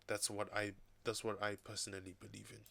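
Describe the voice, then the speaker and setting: A man vlogging casually from his desk at home, raspy from recovering from an illness, thinking aloud in a loose, rambling way. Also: on the low side, flat delivery, brisk, pushed and bright. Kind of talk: casual talking-head vlog. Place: home desk with laptop and second screen.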